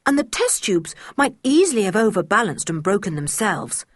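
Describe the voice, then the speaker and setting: A woman's voice: mid-range voice, sing-song, moderate pace, no echo, clean studio sound. Woman voicing an eager, polite older boy. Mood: urgent. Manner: urgent, polite.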